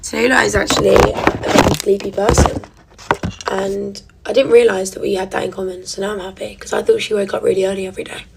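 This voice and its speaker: A woman's voice: medium pitch, flat delivery, fast talker, gravelly, very noisy. Chatty, giggly young woman. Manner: chatty and giggly, drops to a soft near-whisper at times. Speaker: vlogger. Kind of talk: casual get-ready-with-me chat.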